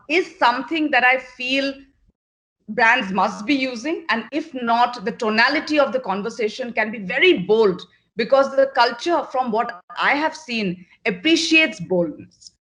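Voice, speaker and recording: A woman's voice: a little high, a bit bright. A middle-aged woman from Northeast India, a managing partner at an agency, answering interview questions in an earnest, direct way, often repeating words for emphasis.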